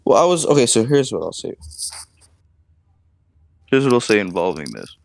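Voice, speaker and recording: A deep-voiced man stumbles through defensive answers, restarting sentences and speaking in a flat, even way. The sound is dry, with no room around it. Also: vocal fry, no echo. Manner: hesitant, halting, monotone. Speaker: content creator answering accusations.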